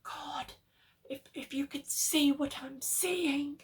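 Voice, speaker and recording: A woman plays a man trapped underground in a horror tale, gripped by panic. His voice is pitched far up and loud, stammering and frantic as he calls out. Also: fairly even intonation, slow. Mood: horrified despair. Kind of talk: dramatic horror reading.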